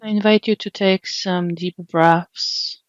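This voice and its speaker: A woman guides a body scan meditation in a slow, gentle, soothing way, leaving long pauses between phrases. Her voice stays level with little rise and fall. The recording is dry and a little dull. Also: on the low side, steady tone, smooth, no echo. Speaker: meditation teacher.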